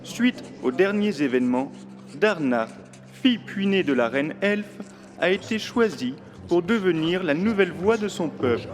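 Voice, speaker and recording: An actor playing a solemn, formal herald presiding over a royal council in a fantasy audio drama. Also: male voice, sits high, animated, measured pace, a crowd in the background. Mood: ceremonious. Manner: formal, ceremonious proclamation.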